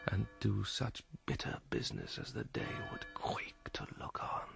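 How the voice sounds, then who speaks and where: An actor playing a brooding, volatile young prince feigning madness in a theatrical Shakespearean radio drama, with a husky, gravelly voice and intense delivery. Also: male voice, low voice, exaggerated voice. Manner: slow, intense, declamatory.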